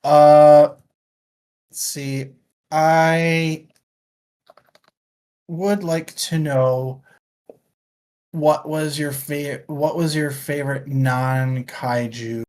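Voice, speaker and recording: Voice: medium pitch, flat delivery, very slow, pauses often, a little mellow. A podcast host chatting casually about books in a wry, hedging manner. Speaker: book discussion show host. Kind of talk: casual chat, book discussion.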